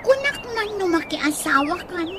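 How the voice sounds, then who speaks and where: A perky young Ilocano niece played by a woman with a very high voice, cheeky and coaxing in a radio comedy drama. Clear background noise with birdsong sits behind her. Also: animated, unhurried. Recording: noisy audio with birds.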